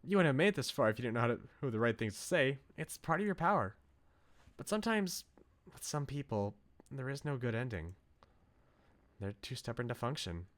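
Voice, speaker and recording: A man's voice: medium pitch, very animated, a little fast, lightly acted. A man voicing an earnest, devoted young woman from a visual novel, reading her lines with emphasis. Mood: concerned, insistent. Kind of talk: reading visual novel dialogue aloud in character. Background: game music.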